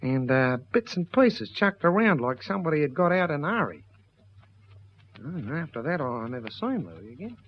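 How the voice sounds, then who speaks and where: A man's voice: fairly high pitch, very animated, normal speed. An actor playing a good-natured Australian country man with a colloquial, confiding manner in a radio drama. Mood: uneasy. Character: rambling, good-natured Australian bush local. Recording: dull lo-fi radio recording.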